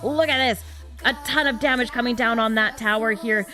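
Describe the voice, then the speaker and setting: A woman's voice: on the high side, normal speed, sharp tone, faint music behind. A woman in her thirties doing live game commentary on a stream, chatty, with lots of little fillers. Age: 30s. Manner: chatty, laughs often, frequent "um" fillers. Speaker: esports caster.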